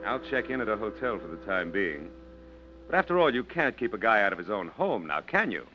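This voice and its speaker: An actor playing a smooth, smug man who claims to be her long-lost brother, breezy and mocking, in a 1940s radio drama. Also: lowish voice, very animated, brisk. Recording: dull vintage radio recording with faint hiss.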